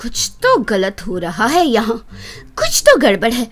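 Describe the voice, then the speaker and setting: A woman's voice: on the high side, wildly swooping pitch, measured pace. A woman playing a wronged, anxious young wife with a plaintive, dramatic delivery in a children's moral story. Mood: alarmed.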